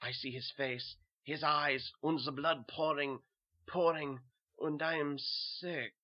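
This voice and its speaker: A man with a put-on German accent playing a dazed, haunted soldier turned butcher's helper in a dramatic horror reading, halting and brooding. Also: a little high, fairly even intonation. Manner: halting, repetitive, brooding first-person delivery. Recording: dull lo-fi home recording.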